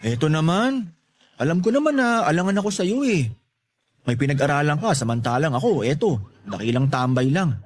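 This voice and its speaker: A cheeky young Filipino neighborhood loafer in love. His voice is high and leaps around animatedly as he teases and wheedles in a corny, playful way. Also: very animated, brisk. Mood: pleading. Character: cheeky, lovestruck young male neighborhood loafer, relentlessly teasing suitor. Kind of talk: Tagalog radio drama acting.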